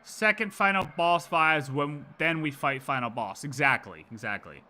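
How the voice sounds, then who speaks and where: A man's voice: a little high, lively intonation, moderate pace, pushed and bright. A man streaming his live reactions to a game story in casual, slangy, sweary and mocking commentary. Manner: slangy, sweary, mocking, acts out imagined quotes.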